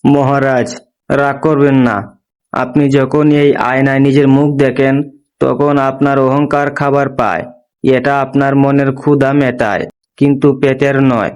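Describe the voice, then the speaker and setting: A man voicing a wise, brave young woodcutter's daughter, calm and earnest, in a cartoon. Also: medium pitch, a little slow, smooth, highs cut off, close to an everyday voice. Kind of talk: moral story cartoon dialogue.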